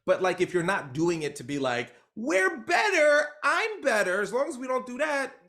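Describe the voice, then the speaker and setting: Gravelly-voiced man coaching a group. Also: very high voice, moderate pace. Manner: animated, rambling, with many fillers. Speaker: trainer leading a group call. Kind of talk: coaching on a group video call.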